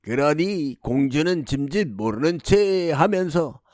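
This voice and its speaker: A man reads a fairy tale as a storyteller. His delivery is slow and measured, in a fairly high voice for a man. The recording is dry, with little room sound. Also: animated, darkish tone, dry recording. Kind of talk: scripted fairy-tale narration.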